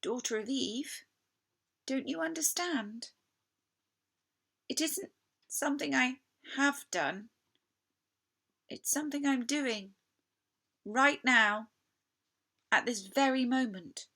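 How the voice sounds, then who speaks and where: A woman's voice: high voice, animated, slow, vocal fry, long pauses, pushed and bright, pitched above the performer's own voice, full character voice. A woman voicing an anxious, guilt-ridden, tearful male fawn in a storybook, with a stammering, dramatic delivery. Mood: guilty, urgent.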